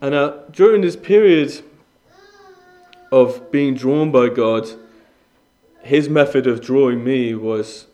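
A man's voice: mid-range voice, takes it slowly, mellow. A young man from Iran speaking as a guest preacher, sharing a personal testimony in an earnest, conversational way with frequent fillers and restarts.

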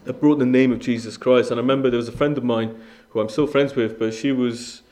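A man's voice: medium pitch, steady tone, fast, darkish tone. Young man from Iran, guest preacher, mid-range voice, earnest and conversational, restarts often. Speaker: guest speaker. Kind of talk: sermon with personal testimony.

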